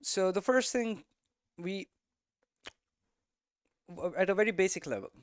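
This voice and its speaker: A man with a smooth, clear voice pitched slightly high gives an informal explainer. He thinks aloud in a conversational way, tagging his points with little check-ins, and the sound is close and dry. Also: animated, a little slow, no room sound. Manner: conversational, thinking aloud, frequent "you know" and "right?" tags. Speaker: game development streamer and teacher.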